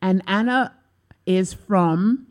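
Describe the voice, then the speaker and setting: A woman hosting a talk show, chatting casually with quick jokes and frequent back-channel affirmations. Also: mid-range voice. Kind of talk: casual interview chat.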